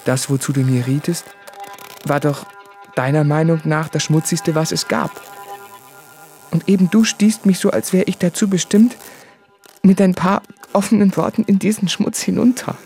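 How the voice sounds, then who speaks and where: An actor playing an anguished, self-doubting son in a radio play, delivering intimate literary monologue in an earnest, deliberate manner. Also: male voice, on the high side, fairly even intonation, darkish tone, soft background music, natural voice. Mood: reproachful.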